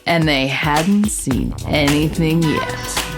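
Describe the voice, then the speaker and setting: An actress playing an investigative reporter, narrating a scripted audio drama with measured, descriptive storytelling. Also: female voice, sits low, loud music behind the voice. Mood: intrigued, dramatic. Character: female reporter narrating her investigative holocast.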